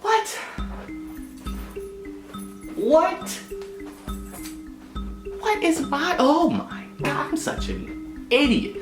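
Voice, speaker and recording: A young American man in his twenties casually telling a personal story in an expressive, self-mocking way and acting out dialogue. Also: extremely high pitch, extremely slow, long pauses. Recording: roomy echo with music playing behind. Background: music.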